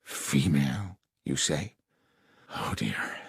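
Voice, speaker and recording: A man voicing a polite, uneasy gentleman client in an audiobook, speaking formally and courteously. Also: rumbling low, expressive, slow pace, gravelly, breathy edge, no room sound, studio quality, voice pushed down, exaggerated voice. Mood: shaken.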